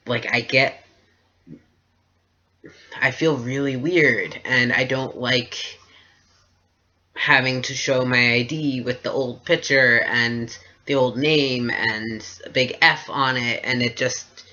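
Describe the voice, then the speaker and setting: A man's voice: mid-range voice, steady tone, takes it slowly, pushed and bright. A young man talking through a personal video diary in a hesitant, reflective way. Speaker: vlogger. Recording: dull lo-fi recording.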